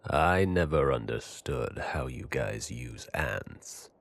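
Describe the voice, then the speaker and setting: A man playing a gruff, seasoned anime soldier, grave and loyal, with a husky voice in an audio drama. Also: expressive, slow pace, full character voice. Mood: gruff banter. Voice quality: husky, very deep.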